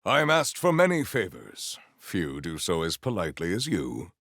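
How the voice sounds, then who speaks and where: An actor playing a proud, formal sci-fi warrior in a video game, speaking in a grandiose, deliberate and earnest way. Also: male voice, deep voice, wildly swooping pitch, fairly bright tone, no room sound, clean studio sound, exaggerated voice. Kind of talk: video game character voice lines.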